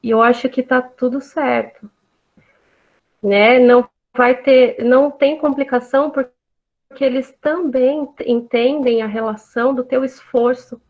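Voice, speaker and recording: Woman teacher, slow and reassuring, somewhat high voice, phone-quality sound. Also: fairly even intonation. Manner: reassuring, many tag questions. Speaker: Waldorf teacher answering parents. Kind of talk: online talk answering questions. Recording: tinny phone-like audio.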